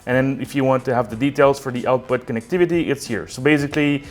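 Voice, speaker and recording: A man's voice: mid-range voice, fast. A man giving a casual product demonstration as a technical support director, conversational, with many "um"s and frequent checking "okay?"s. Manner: fillers "um" and "uh" between phrases.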